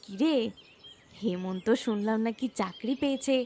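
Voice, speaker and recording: A woman's voice: on the high side, normal speed, warm tone. A woman voicing a chatty, approving visiting sister-in-law, familiar and inquisitive, in a cartoon moral story. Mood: curious.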